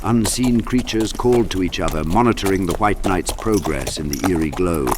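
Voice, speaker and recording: Deep-voiced male audiobook narrator. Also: normal speed, background noise. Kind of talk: dramatic scripted narration of dark fantasy.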